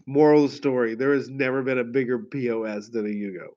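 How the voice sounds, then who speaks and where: A man hosting a casual livestream. He tells rambling stories, laughs and swears easily, and sounds hoarse from a head cold. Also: mid-range voice, steady tone, quick pace. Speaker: car sales livestream host. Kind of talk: casual livestream chat with viewers. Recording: dull lo-fi stream audio.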